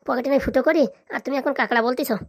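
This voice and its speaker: Wheedling, penniless comedy-cartoon husband, played by a woman: hesitant, grumbling, very rapid delivery. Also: sits high, fairly even intonation. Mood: pleading, grumbling. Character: broke, wheedling husband begging his wife for money.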